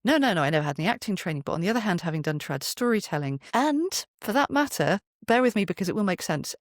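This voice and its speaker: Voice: mid-range voice, very animated, fast talker, dry recording, studio quality. A podcast guest chatting in an interview, explaining things from experience with frequent asides and self-interruptions. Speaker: podcast guest, storyteller and voice performer.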